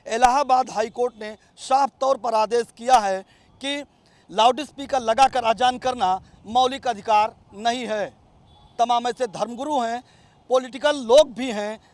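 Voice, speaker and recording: A man's voice: very high-pitched, no echo. A man reporting as a TV news correspondent in the field.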